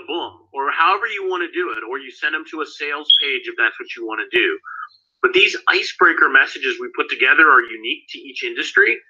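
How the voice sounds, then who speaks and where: Guy losing his voice running a live online class, really bright sharp tone, dull lo-fi call audio. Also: on the high side, husky. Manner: casual, talks straight to students by name. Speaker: course instructor. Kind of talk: live online training session.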